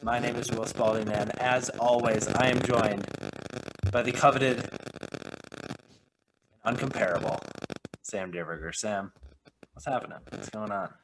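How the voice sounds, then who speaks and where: A man's voice: on the low side, heavy background noise. A man hosting a film podcast in casual chat, full of joking, rambling banter. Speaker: podcast host.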